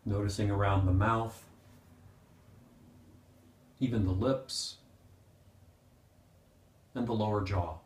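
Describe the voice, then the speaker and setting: A man with a fairly low voice leads a guided meditation in a clearly echoing room. He gives gentle, unhurried instructions and leaves pauses between short phrases. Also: fairly even intonation. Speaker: meditation teacher. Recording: roomy, echoing sound.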